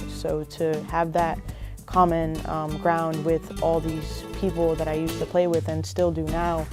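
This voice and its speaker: A young woman, a college athlete, answering interview questions in a relaxed, thoughtful way with fillers. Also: low-pitched. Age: young adult. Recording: music bed under the voice.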